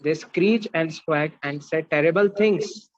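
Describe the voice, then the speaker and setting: A man's voice: a little high, measured pace, no echo, lightly acted. A man reading the part of a stern, disapproving father in a reader's theatre, over dry, muffled call audio. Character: stern father refusing a pet. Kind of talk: reader's theatre read-aloud.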